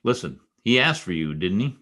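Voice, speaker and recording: A man's voice: lowish voice, very animated, normal speed, a bit bright. An American man playing a tough, wry private detective in a 1940s radio noir style, clipped and slangy. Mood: pressing, wry. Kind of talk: 1940s radio noir acting with first-person narration.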